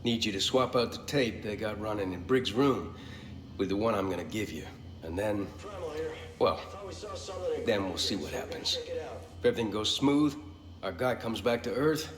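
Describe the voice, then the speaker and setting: Casting: man as gruff resistance boss for a game, middle-aged, lowish voice, folksy and decisive, echoey space. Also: animated, moderate pace, lightly acted. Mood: determined briefing. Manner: plain-spoken, commanding, folksy. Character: gruff middle-aged male militia leader, steady and decisive. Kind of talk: video game cutscene dialogue.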